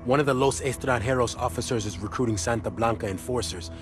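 An actor playing a wry, earnest intelligence contact in a video game, briefing a soldier in a conspiratorial, colloquial way. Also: male voice, mid-range voice, fast, music in the background, close to an everyday voice. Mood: wry, intent. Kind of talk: video game cutscene dialogue.

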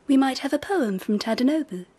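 An imperious, capricious empress in a radio drama. Her voice is fairly high and breathy. She gives orders with languid, gracious regal ease. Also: animated, brisk, mellow.

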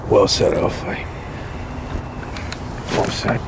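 A man's voice: very high-pitched, huge pitch swings, fairly quick, background noise, full character voice. An actor playing a vengeful gang leader and grieving father, furious, blunt and threatening. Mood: quiet. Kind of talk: TV crime drama acting.

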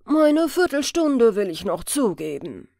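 Audiobook crime story: pompous, jittery police major, blustering and drawn out female reader, swooping pitch. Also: sing-song, a little slow, vocal fry, darkish tone, no echo, clean studio sound, heavily acted. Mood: grudging. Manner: blustering, indignant. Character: indignant, easily frightened male police major, self-important superior.

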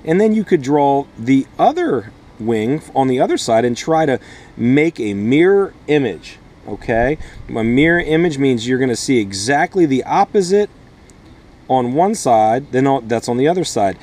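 A man's voice: medium pitch, animated, normal speed, a little mellow. Man teaching informally, in simple words. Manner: explains step by step in simple words. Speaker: schoolteacher host. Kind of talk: read-aloud and informal lesson addressed to children and parents. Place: inside a small plastic-sheeted wooden greenhouse.

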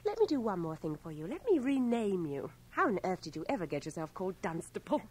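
Forthright, playful young woman with animated delivery. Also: fairly low pitch, fast, a little hiss or hum. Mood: teasing. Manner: teasing, direct, articulate. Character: forthright, playful, affectionate young woman, wartime nurse and lover. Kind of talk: 1980 radio drama acting. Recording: cassette transfer of radio drama, faint music.

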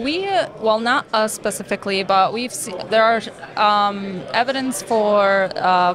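A woman in her twenties from Lebanon, a graduate student explaining her research in a podcast interview, with many ums and restarts. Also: medium pitch. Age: 20s. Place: conference hall booth with busy crowd behind. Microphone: table mic on stand, wearing headphones.

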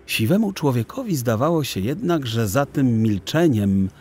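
A man narrating a children's audiobook in a theatrical, deliberate storytelling style. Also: medium pitch, big pitch swings, darkish tone, slight background noise.

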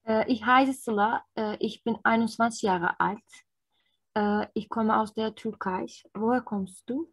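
A woman in her twenties with a Turkish accent, practicing a German speaking exam, pausing often with fillers. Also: on the high side, steady tone, husky, dry recording. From Turkey. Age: 20s. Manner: hesitant, many fillers. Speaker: nurse and language learner. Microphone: video call.

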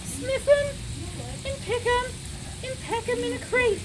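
A woman reading a picture book aloud in a theatrical, drawn-out way, outdoors and a little way from the camera's mic. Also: very high-pitched, steady tone, very noisy. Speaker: reader. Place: outdoors on a garden bench.

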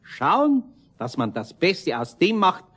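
An older Bavarian craftsman in a children's radio play. His voice is husky and animated, rising and falling widely, grumbly but kindly at heart. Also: high voice, slow, exaggerated voice. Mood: consoling. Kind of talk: 1970 German children's radio play acting.

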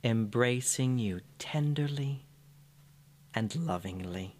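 A man narrating a scripted guided meditation in a soothing, gentle manner. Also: medium pitch, animated. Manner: soothing, unhurried, gentle phrasing.

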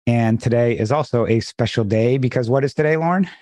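A man's voice: mid-range voice, brisk, a little mellow, no echo. Dry, slightly froggy man co-host. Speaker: podcast co-host. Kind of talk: casual podcast chat.

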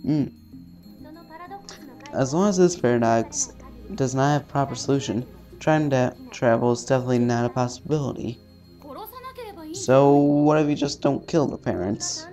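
Mellow man reading a game aloud. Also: mid-range voice. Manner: halting reading, casual asides with swearing. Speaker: let's-player. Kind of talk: reading visual novel text aloud with casual commentary. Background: faint game music.

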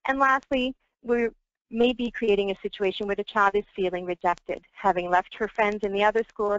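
A woman speech language pathologist giving a webinar presentation, explaining in a clear, measured way. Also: mid-range voice, no room sound, lo-fi sound. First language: French.

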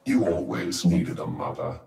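A very deep, taunting inner demon. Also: male voice, very animated, creaky, warm tone, roomy sound, recorded from a distance, exaggerated voice. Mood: mocking. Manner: mocking, needling, deliberate. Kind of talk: video game dramatic acting.

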